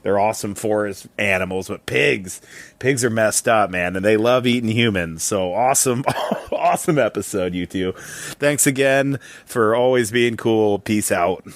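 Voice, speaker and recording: A man's voice: lowish voice, measured pace, no echo. A man leaving a casual, chatty voicemail in an informal, slangy and enthusiastic manner. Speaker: podcaster leaving a listener voicemail.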